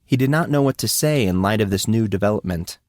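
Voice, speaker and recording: A man's voice: on the low side, animated, a little fast, dry recording, clean studio sound. A man reading scripted story narration as an audiobook narrator.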